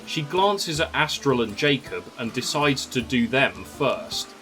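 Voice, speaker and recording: A man's voice: mid-range voice, big pitch swings, measured pace, bright, forceful tone. A man reading scripted story narration. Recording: music under the voice.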